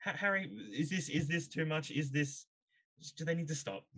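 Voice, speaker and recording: A man hosting a panel discussion, giving opinions haltingly and asking emphatic rhetorical questions. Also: fairly high pitch, sharp tone, slightly rough. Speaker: podcast host.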